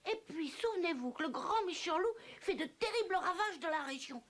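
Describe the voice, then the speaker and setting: A woman voicing an excitable, childlike young piglet in a French children's cartoon, speaking in exclamations. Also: extremely high pitch, fairly quick, crowd noise. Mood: eager, decided.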